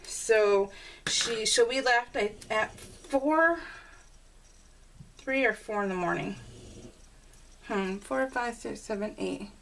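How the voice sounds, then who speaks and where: A woman's voice: on the high side, slow, vocal fry, pauses often, fairly bright tone, slight background noise, rough recording. A middle-aged woman chatting casually and rambling while she works on a scrapbook layout, laughing often. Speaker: scrapbooking video creator. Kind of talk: casual voice-over while crafting.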